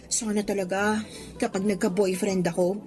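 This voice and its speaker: A woman playing an excitable, admiring young student best friend in a radio drama, with a Filipino accent and a gushing, teasing way of talking. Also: mid-range voice, normal speed, soft background music, natural voice. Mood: teasing, dreamy.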